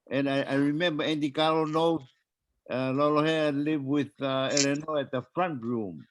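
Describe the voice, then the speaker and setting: An older man, sharing family memories on a video call in a halting way, with many pauses and filler sounds as he searches for names. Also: medium pitch, a bit bright, no room sound, poor audio.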